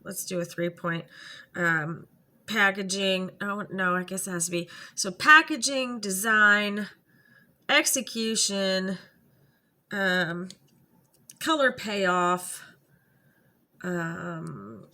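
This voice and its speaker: Slow, chatty woman with a bright voice. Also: mid-range voice. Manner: chatty and rambling, many ums and self-corrections. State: stuffy nose. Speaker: makeup vlogger. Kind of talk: casual makeup review chat. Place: home.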